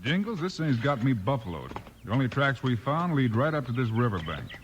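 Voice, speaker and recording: A man's voice: mid-range voice, big pitch swings, brisk, dull audio, natural voice. An actor playing a brave, decisive frontier lawman with a commanding manner in a 1950s radio western. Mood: firm. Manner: firm, commanding. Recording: noisy old 1950s radio recording.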